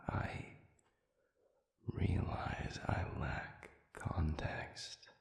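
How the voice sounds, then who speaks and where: A man voicing a formal, intimate demon in an audio roleplay, speaking in a measured, breathy, gravelly whisper. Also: echoey room. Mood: puzzled, curious. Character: formal, sadistic male demon with lost memory, tender and curious.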